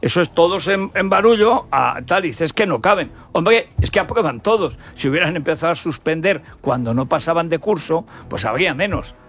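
A man's voice: on the high side, expressive, brisk, few pauses, fairly bright tone, faint music behind. An older man with an accent from Spain giving an opinionated, colloquial radio commentary, indignant and full of rhetorical questions. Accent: Spanish from Spain.

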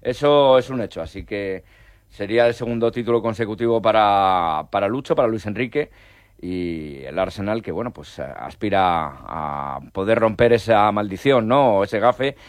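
Low-voiced Spanish man hosting sports talk. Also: lively intonation, no room sound. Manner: measured, hesitant with many fillers. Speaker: host.

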